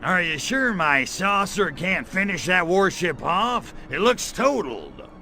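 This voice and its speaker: A man's voice: sits high, very animated, unhurried, gravelly, sharp tone, exaggerated voice. A man playing a cocky, wisecracking alien invader in a comedic video game, sardonic and flippant like a tough guy. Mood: sarcastic.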